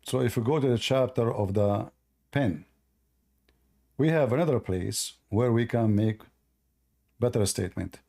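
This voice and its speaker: A man whose first language is Arabic, hosting a live call-in debate and arguing forcefully while reading from sources. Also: medium pitch, unhurried, gravelly, long pauses. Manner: forceful, argumentative, repeats himself. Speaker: host of a call-in debate stream.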